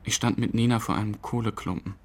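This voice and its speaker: A man's voice: on the low side, fairly even intonation, fast talker, a little hiss or hum, close to an everyday voice. An actor playing a numb, bewildered bereaved boyfriend, telling his story interview-style in a radio drama.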